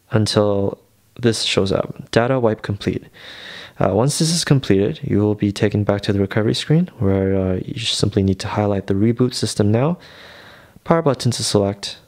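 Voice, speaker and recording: Guy with a lowish voice walking thru a phone how-to, casual and reassuring, takes his time. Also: darkish tone. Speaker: phone tutorial presenter. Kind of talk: casual step-by-step tech tutorial.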